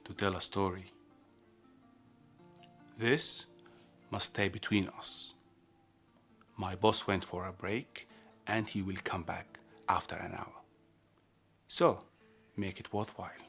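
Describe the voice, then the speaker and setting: Man acting a low-voiced, secretive prison guard. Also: expressive, slow, long pauses, fairly bright tone, soft background music, exaggerated voice. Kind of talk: radio play acting.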